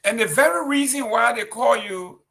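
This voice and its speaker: A man's voice: very high-pitched, lively intonation, normal speed, pushed and bright. A man with a Liberian accent, reading political news and then commenting on it with indignant, emphatic rhetorical questions. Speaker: talk show commentator.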